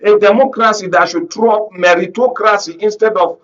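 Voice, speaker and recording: High-voiced Nigerian man giving political commentary. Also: fairly even intonation, moderate pace, a bit bright. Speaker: political analyst, panel guest. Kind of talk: live panel discussion, political commentary. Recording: dull lo-fi broadcast audio.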